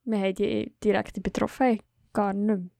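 A woman co-hosts a casual podcast chat with a gravelly, flat voice. She talks conversationally and thinks aloud, and the recording is dry and close. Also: mid-range voice, brisk, dry recording. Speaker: podcast co-host. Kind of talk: casual discussion.

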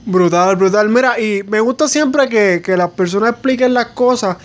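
A man's voice: high voice, moderate pace, lo-fi sound. A man hosting a casual interview, informal and self-deprecating, feeling his way through his questions. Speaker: interviewer, host.

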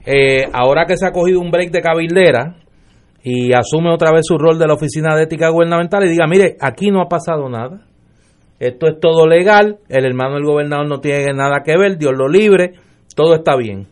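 A male political commentator with a Puerto Rican accent speaks on a radio panel. His voice sits a little high for a man, and his delivery is sardonic. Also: a little fast.

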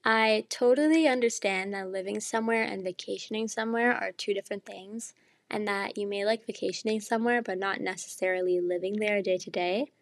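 A young woman hosting her own casual podcast, chatty and enthusiastic, jet-lagged and slightly hoarse as she loses her voice. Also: mid-range voice, no room sound. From Canada. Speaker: podcast host. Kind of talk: casual solo podcast monologue.

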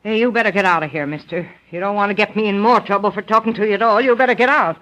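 A man's voice: sits high, very fast, sharp tone. A man playing a weary, fearful old country widow in a 1930s radio drama, with a rural drawl. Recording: dull old radio recording.

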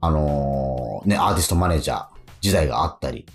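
A man's voice: a bass voice, expressive, brisk. A man hosting a radio show in casual chat, talking in an encouraging way with frequent interjections. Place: radio studio. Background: music.

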